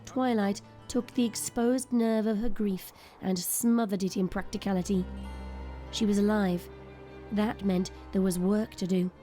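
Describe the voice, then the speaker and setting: A woman narrates an audio drama in a warm, dark-toned voice of medium pitch. The delivery is scripted, dramatic storytelling, with faint music behind it. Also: steady tone, a little slow.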